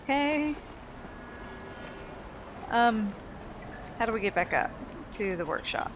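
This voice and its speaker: A woman's voice: fairly high pitch, expressive, takes it slowly, background noise. A woman giving casual, unscripted let's play commentary in a blunt, sweary, opinionated manner. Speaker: gaming video host.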